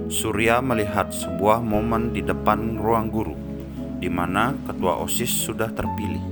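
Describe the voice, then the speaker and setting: A man's voice: fairly low pitch, fairly even intonation, a little slow. A man narrating a scripted story. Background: music behind the voice.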